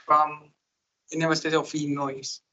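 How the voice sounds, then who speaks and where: A woman's voice: deep voice, flat delivery, moderate pace, bright, forceful tone. A young woman, a graduate student, giving a brief self-introduction on a dull, muffled recording. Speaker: master's student.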